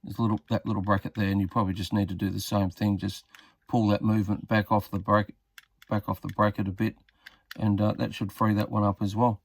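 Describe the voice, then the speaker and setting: A man's voice: lowish voice, level tone, quick pace, creaky, husky. A man giving a casual hands-on repair tutorial, talking tentatively and muttering as he works. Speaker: hobbyist demonstrating a radio repair.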